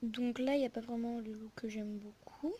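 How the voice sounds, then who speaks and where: A woman's voice: fairly high pitch, fairly even intonation, normal speed, mellow. A young woman casually commentating a game lookbook as host, rambling and interrupting herself, on a poor recording with noise and clicks. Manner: rambling, informal, self-interrupting. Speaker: video host.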